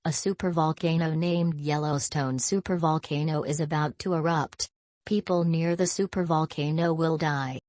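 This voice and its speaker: A synthetic woman's voice reading scripted emergency broadcast announcements in a stiff, impersonal, measured way. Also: low voice, steady tone, a little mellow, no echo.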